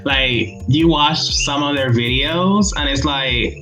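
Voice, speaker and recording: A man from Puerto Rico with a Puerto Rican accent, chatting casually as a guest, animated and laughing often, with many "like" fillers. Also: medium pitch, takes it slowly, faint music behind, rough recording. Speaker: guest YouTuber. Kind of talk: casual video-call conversation.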